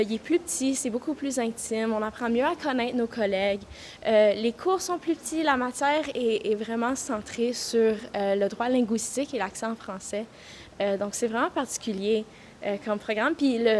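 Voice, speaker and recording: A woman's voice: fairly high pitch, slight background noise. A young woman law student, giving an earnest vox pop answer full of fillers.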